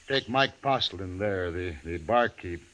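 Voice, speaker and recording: An actor playing a calm, guarded saloon owner in a 1950s radio western. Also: male voice, low-pitched, measured pace, a little hiss or hum, exaggerated voice. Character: calm, guarded frontier saloon owner, fair-minded man.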